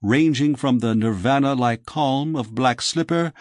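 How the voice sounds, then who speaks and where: A man's voice: mid-range voice, measured pace, a little mellow, no room sound, studio quality, natural voice. A man voicing a sleek young dream-shop manager in an audiobook, with polished, formal, lecturing sales patter. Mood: suave, instructive.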